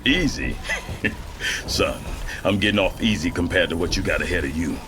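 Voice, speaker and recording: An actor playing a proud older American military general with a blunt, salty, commanding manner in a video game cinematic. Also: male voice, low voice, normal speed, noisy background, natural voice. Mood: weary, stern.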